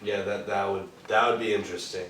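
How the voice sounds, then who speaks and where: A man's voice: on the low side, fairly even intonation, a little fast, fairly bright tone, natural voice. A dry, understated husband played by a man in a stage drama. His voice reaches the microphone from far away, with heavy room echo and faint noise. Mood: uneasy, dry. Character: dry, amorous husband, a male TV star. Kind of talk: stage play acting. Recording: distant, echoey stage recording, faint noise.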